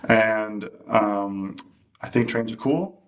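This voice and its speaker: A man's voice: fairly low pitch, slow pace, a bit bright. A man speaking on a webinar panel, hesitant with many fillers and restarts. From United States.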